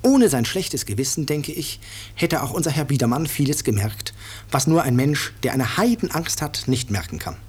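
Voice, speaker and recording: A man playing an author who addresses listeners in measured, deliberate, dryly ironic radio-play narration. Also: a little high, sing-song, breathy edge. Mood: ironic, emphatic. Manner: measured, deliberate, dry irony. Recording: slightly noisy 1950s radio recording.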